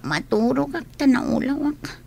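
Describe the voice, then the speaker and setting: An actress with an Ilocano accent playing an anxious, reluctant young student in a radio drama. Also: female voice, high-pitched, lively intonation, unhurried, vocal fry, warm tone, heavily acted.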